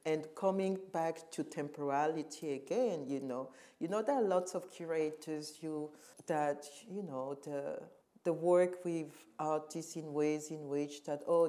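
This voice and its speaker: A middle-aged woman from Cameroon, a curator and museum director, speaking reflectively and with emphasis in a panel conversation, often pausing to search for words. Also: sits low, steady tone, warm tone.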